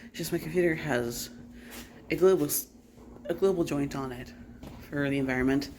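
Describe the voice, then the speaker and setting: A man's voice: a little high, moderate pace, a little mellow. A man chatting casually to his vlog in a rambling, blunt way that slips into swearing. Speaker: vlogger. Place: bedroom at home. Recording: noisy home recording with clicks.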